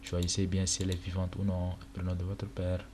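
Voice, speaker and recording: A young man from Chad whose first language is Arabic, casually talking through a screen-recorded walkthrough with many pauses and fillers. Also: sits low, completely flat delivery. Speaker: tutorial presenter. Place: indoor room at a computer. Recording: noisy home recording with keyboard clicks.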